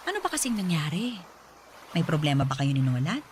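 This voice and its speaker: A woman acting as a middle-aged mother in a radio drama. Her lowish voice is caring and gently probing, with faint night-insect ambience behind it. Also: big pitch swings, fairly quick, a little hiss or hum. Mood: concerned. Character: caring, perceptive mother of a grown daughter.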